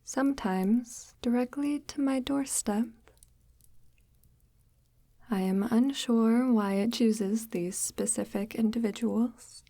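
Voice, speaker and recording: A woman playing a calmly menacing forest witch in an ASMR roleplay, speaking softly and half-whispered in a formal, measured, gently patronizing way, close to the mic. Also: a little high, no room sound. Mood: solemn, resigned. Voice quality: soft, breathy, half-whispered. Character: sinister forest witch, calmly menacing, devout, condescendingly tender. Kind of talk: ASMR audio roleplay, slow intimate monologue.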